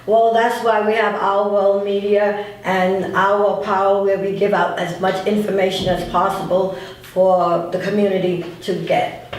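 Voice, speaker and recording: High, even-pitched man in echoey room. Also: unhurried, recorded from a distance. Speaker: radio interviewer. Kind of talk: casual conversation.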